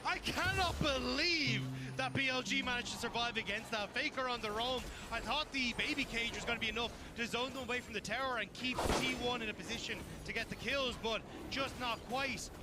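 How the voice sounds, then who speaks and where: A man's voice: very high voice, a little fast, hardly any pauses, music in the background. A man giving explanatory live analysis as an esports commentator.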